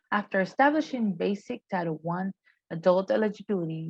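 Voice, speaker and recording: A woman whose first language is not English, speaking English with an accent and explaining program rules in a deliberate training presentation. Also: mid-range voice, vocal fry, a little mellow, dry recording, lo-fi sound. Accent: non-native English. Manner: deliberate, explanatory, stresses key terms.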